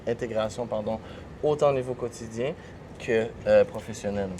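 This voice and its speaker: A man's voice: lowish voice, normal speed, dark tone, a little hiss or hum. A man from Canada, hosting a casual interview in an eager way and dropping in English phrases. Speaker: host, interviewer.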